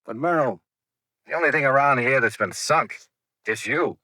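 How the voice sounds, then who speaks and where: An actor playing a shrewd, brisk insurance investigator in an American 1950s radio detective drama, clipped and self-assured. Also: male voice, mid-range voice, bright, forceful tone, no echo, studio quality. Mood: confident, accusing. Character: shrewd, brisk male insurance investigator narrating his case. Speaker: lead actor and narrator.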